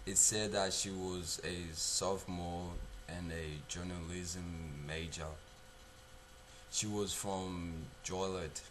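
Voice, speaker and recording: A young man playing a guilt-haunted narrator in a confessional dramatic monologue, halting, subdued and repeating himself. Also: low voice, a bit bright, a little hiss or hum, poor audio.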